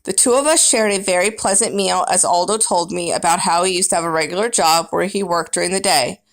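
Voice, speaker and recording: A woman's voice: on the low side, fairly quick. A woman reading a children's book aloud as first-person narrator, with dramatic, emphatic storybook phrasing.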